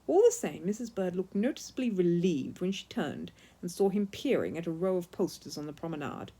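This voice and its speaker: A woman narrating a children's story in an expressive, emphatic read-aloud style. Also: on the low side, brisk, slightly rough.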